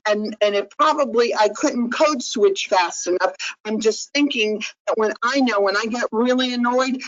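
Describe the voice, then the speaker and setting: A woman's voice: fairly high pitch, fairly bright tone. A woman whose first language is Portuguese, giving an earnest, conversational training talk to advocates and often checking in with her listeners. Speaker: trainer for sexual assault advocates. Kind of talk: training lecture. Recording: dull lo-fi recording.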